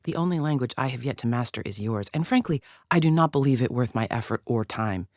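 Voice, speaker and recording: A woman's voice: very deep voice, lively intonation, fast, a little mellow, dry recording, clean studio sound. A woman playing a haughty, contemptuous female alien agent in a video game, speaking formally with clipped, disdainful precision. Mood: cool, condescending. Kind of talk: scripted video game character lines.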